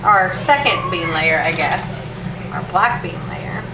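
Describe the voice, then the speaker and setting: A woman's voice: fairly low pitch, takes it slowly. A woman with a stuffed-up nose from allergies, casually talking through a home recipe in her kitchen with chatty ums and asides. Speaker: home cook showing a recipe. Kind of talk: casual cooking demo. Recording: echoey room, distant mic, hiss.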